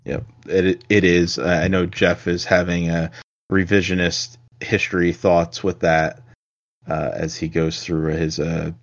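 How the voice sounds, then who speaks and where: A man's voice: sits low, level tone, unhurried, highs cut off. A man in his forties co-hosting a casual podcast chat, rambling and self-deprecating with frequent laughs. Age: 40s. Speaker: podcast co-host.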